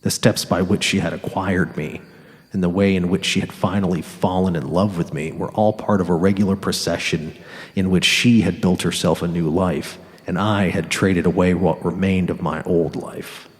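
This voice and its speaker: An actor giving a measured dramatic reading as an ailing, world-weary, cynical writer on safari. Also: male voice, sits low, steady tone. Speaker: actor narrating in character. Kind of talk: dramatic first-person literary narration. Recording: long reverb on the voice.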